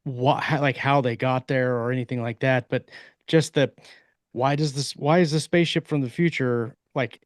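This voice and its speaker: A man's voice: mid-range voice, fairly even intonation, moderate pace, no room sound. Man rambling in a dry, close recording. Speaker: podcast co-host. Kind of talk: casual panel chat.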